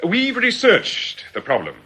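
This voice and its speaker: An actor playing a suave, authoritative criminal boss in a radio drama, speaking in a measured, formal, businesslike way. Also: male voice, high voice, wildly swooping pitch, sharp tone, slightly rough, exaggerated voice. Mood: confident, emphatic. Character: suave, authoritative male criminal boss running a scheme like a company.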